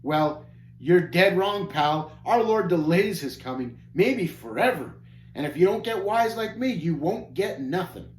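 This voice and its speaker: A man doing a gruff bratty boy bully. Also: high-pitched, animated, measured pace, pitched above the performer's own voice. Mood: taunting. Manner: shouting, snapping, jeering. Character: gruff greedy boy who bullies and taunts. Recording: echoey room, faint hum.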